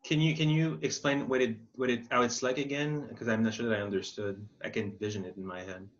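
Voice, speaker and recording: A man's voice: mid-range voice, fairly even intonation, a little fast. A man explaining as an expert in an informal webinar Q&A, thinking aloud and rephrasing as he goes. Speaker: accessibility expert presenting a webinar. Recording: muffled, band-limited webinar audio.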